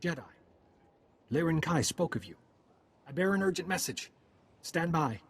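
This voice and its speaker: A brisk, earnest alien commando messenger in a video game. The voice is fairly high and animated, giving curt formal instructions over faint noise. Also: male voice, vocal fry. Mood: urgent.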